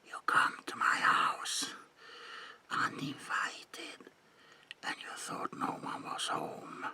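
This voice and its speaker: A man voicing an eerie, reclusive old vampire in a breathy, gravelly whisper for an ASMR roleplay, murmuring old-fashioned phrases with lingering repetitions and polite menace. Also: slow pace, sharp tone. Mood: menacing, reproachful.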